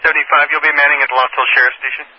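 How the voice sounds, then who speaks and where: A man's voice: a little high, flat delivery, very fast, highs cut off. A man working as a fire dispatcher on two-way radio, matter-of-fact and clipped, repeating details back in procedural phrases. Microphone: radio dispatch channel. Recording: noisy band-limited scanner radio, clicks.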